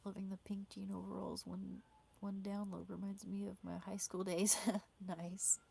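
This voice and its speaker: A woman on a small clip-on mic, talking casually to her live stream viewers and reading their comments aloud. Her throat is sore and her voice is starting to give out, sitting at an ordinary middle pitch for a woman. She speaks in a halting, chatty way, often stumbling and repeating words as she goes. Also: little pitch movement, gravelly, warm tone, breathy edge. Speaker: livestreamer. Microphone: small clip-on wireless mic.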